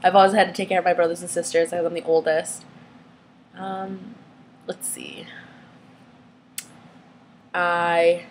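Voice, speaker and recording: A young woman whose first language is Vietnamese speaks casually to her camera in a somewhat low voice. She hesitates often, filling gaps with small fillers and restarting phrases. A faint background hiss sits under her voice. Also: lively intonation, unhurried, long pauses. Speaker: vlogger.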